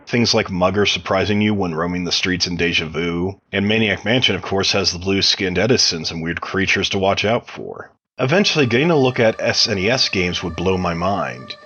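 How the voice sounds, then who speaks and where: A man's voice: deep voice, pushed and bright. A man giving prepared answers as a podcast interview guest, sounding like he reads them out. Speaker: interview guest, game mod developer.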